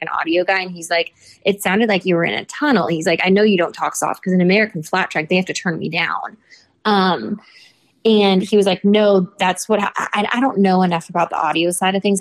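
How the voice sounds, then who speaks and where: Casual talky young woman reporter, rambly, filler-heavy. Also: on the low side. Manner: chatty, rambling storyteller with many "like" fillers. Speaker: motorsport reporter, podcast guest. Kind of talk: casual podcast chat.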